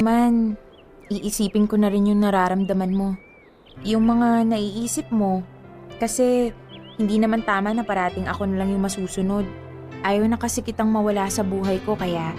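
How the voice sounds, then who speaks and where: A woman's voice: medium pitch, normal speed, pauses often, a little mellow, natural voice. A woman acting a remorseful young fiancée with a Filipino accent in an emotional, pleading radio drama. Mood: tender. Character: remorseful young fiancée making up with her boyfriend. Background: music behind the voice.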